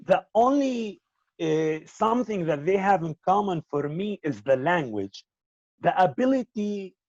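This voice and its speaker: A man whose first language is Arabic, answering interview questions about filmmaking in a halting way and often repeating words. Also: high-pitched, very animated, darkish tone, no echo, highs cut off. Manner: halting, repeats words while searching for them.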